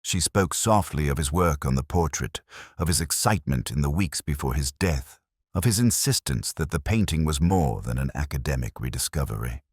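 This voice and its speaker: A man narrating a detective story in the first person as a brooding, cerebral investigator, in a measured, literary, melancholy manner. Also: very deep voice, lively intonation, slightly rough, dry recording, studio quality. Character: brooding, cerebral male police detective narrating his case. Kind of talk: first-person scripted narration, slow-burning mystery.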